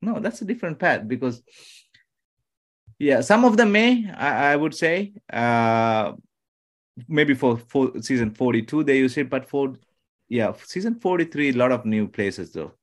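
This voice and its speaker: A man from Sri Lanka, a podcast guest chatting casually, talking in halting bursts with many restarts and sudden emphasis. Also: mid-range voice, expressive. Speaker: podcast guest, former contestant. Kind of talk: casual chat.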